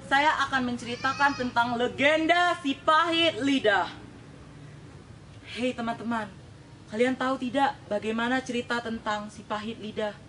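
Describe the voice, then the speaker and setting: Young female storyteller, high-pitched, deliberate dramatic folktale delivery, faint noise behind. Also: a bit bright, low-quality recording. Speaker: storytelling contestant.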